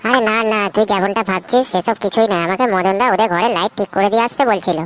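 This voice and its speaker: A cocky teenage schoolboy from an adult comedy cartoon, voiced by a woman in a mid-range voice, rattling along at a fast clip. He is brash and swaggering, and loud hiss runs under the whole track. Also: mid-range voice, lightly acted. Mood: persuasive. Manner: brash, swaggering, full of slang. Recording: loud background noise.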